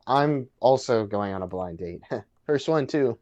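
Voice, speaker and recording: A man's voice: mid-range voice, animated, unhurried, darkish tone, dull audio, lightly acted. A man playing an awkward, chatty young man in a casual dramatic reading, hesitant and stammering. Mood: flustered, friendly. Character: awkward, chatty young man named Bartram on a blind date.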